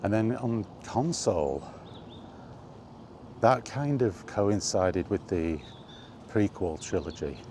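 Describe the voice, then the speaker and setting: A man hosting a casual, rambling chat, thinking aloud with hesitant pauses. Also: lowish voice, lively intonation, dark tone. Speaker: video host reminiscing about games. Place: on the move, walkie talkie vlog. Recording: faint ambient background noise.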